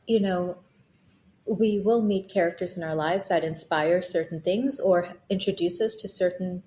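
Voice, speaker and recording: A woman's voice: on the low side, moderate pace, warm tone, a little hiss or hum. A woman in her thirties from Bangladesh, raised in Thailand, speaking earnestly and reflectively as a guest in a conversation. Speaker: guest author. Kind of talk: casual conversation.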